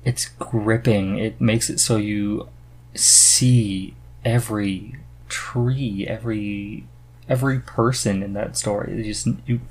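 A man hosting a podcast in casual chat, halting as he searches for words. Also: fairly low pitch, steady tone, rough recording. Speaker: podcast host.

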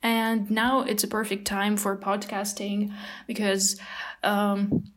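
A woman's voice: medium pitch, little pitch movement, slow pace. A woman in her early twenties co-hosting a casual podcast with a friend, talking with lots of fillers and small laughs. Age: 20s. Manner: hesitant, many fillers, giggly. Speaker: podcast co-host, psychology student. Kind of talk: casual chat.

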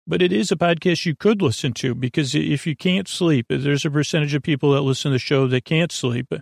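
A man hosting a bedtime podcast in a meandering, halting monologue, trailing off mid-sentence and gently reassuring the listener. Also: a little high, vocal fry, no room sound, clean studio sound. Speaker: podcast host.

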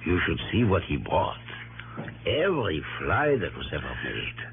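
Folksy farmer, gravelly, swooping pitch, eager broken English, vintage radio drama, narrow sound. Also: male voice, wildly swooping pitch, normal speed, full character voice. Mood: agreeable, sly. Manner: agreeable, repeats himself, folksy broken English. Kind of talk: 1960s radio drama acting. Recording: narrowband old radio, faint hum.

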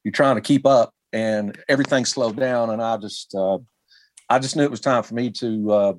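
A man in his forties from Kentucky, a musician guest talking casually with many pauses and restarts. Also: medium pitch, steady tone, slight background noise. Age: 40s. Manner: halting, many ums and restarts. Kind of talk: casual interview chat.

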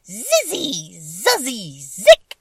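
A woman voicing a wicked, commanding witch in a storybook, loud, emphatic and imperious. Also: high-pitched, huge pitch swings, slow pace, distant mic, voice pushed up, heavily acted. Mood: chanting. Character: wicked witch, angry, commanding, chanting spells. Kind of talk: storybook character voice.

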